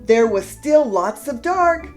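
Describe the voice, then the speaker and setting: A woman reading a children's storybook aloud with deliberate, expressive storytelling emphasis. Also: a little high, soft background music. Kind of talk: expressive read-aloud narration for young children.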